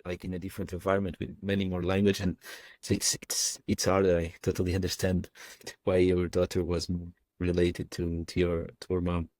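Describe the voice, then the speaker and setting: Deep-voiced man with Portuguese accent. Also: darkish tone, no room sound. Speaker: podcast guest. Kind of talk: casual podcast conversation.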